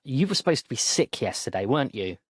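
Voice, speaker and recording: A man's voice: mid-range voice, sing-song, quick pace, slightly rough, no room sound, clean studio sound. A man voicing a strict father who lectures his son firmly. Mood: stern. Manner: firm and lecturing. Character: stern, strict middle-aged father.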